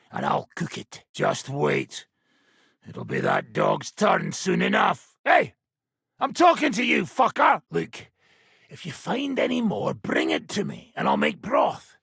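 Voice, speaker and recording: A rough, hard-drinking soldier from a video game, with an extremely raspy, gravel-filled voice. His pitch sits high for a man and swings wildly as he speaks, coarse and hot-tempered. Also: huge pitch swings, a little slow, fairly bright tone, no room sound, clean studio sound, exaggerated voice. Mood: angry, aggressive. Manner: coarse, swearing, blunt. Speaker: game character voice actor. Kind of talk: video game character dialogue.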